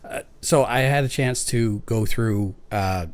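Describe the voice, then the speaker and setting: A man's voice: lowish voice, unhurried. A man interviewing a guest as a podcast host, in a conversational style.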